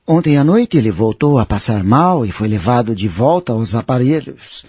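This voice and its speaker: An actor playing a courteous, grieving son in an audio drama, speaking politely and gently. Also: male voice, mid-range voice, expressive, moderate pace, breathy edge, no room sound. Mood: subdued, sad. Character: courteous, grieving son bearing sad news. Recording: dull lo-fi audio with music behind.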